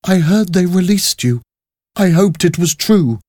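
A man voicing a timid young woman prisoner in a storybook, soft, earnest and pleading. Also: sits high, expressive, measured pace, no echo, studio quality, voice pushed up. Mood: timid, hopeful.

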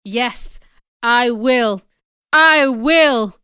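A woman voicing a commanding, ardent gentleman in a storybook, with an earnest, emphatic delivery. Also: on the high side, expressive, measured pace, clean tone, no room sound, studio quality. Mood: agitated. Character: Mister Rochester, commanding, ardent gentleman in love.

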